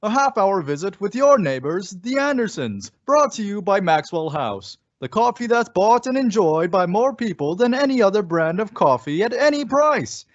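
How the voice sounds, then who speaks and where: A man's voice: sits high, sing-song, normal speed, few pauses, dry recording. A man playing a folksy, wry announcer and narrator in an old-time radio comedy. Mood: polished salesmanship. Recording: dry, dull home recording.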